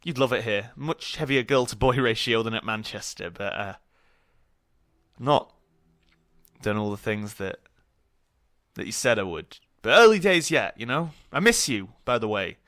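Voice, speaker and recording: A man's voice: medium pitch, lively intonation, normal speed, long pauses, a bit bright, no room sound, close to an everyday voice. A man as an awkward grieving student, dry close sound. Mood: awkward, tender. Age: young adult. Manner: hesitant, rambling, nervously joking. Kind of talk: naturalistic audio drama acting.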